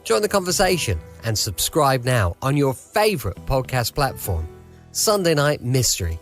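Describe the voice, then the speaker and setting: A man's voice: medium pitch, sing-song, normal speed, soft background music. A man reading a scripted podcast promo as a voice-over.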